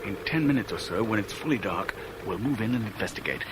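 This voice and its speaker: An actor playing a sharp, sardonic, impatient smuggler on the run in a 1970s radio drama. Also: male voice, on the low side, lively intonation, quick pace, lightly acted. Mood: curt, impatient. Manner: sardonic, curt. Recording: noisy vintage radio drama, sound effects behind.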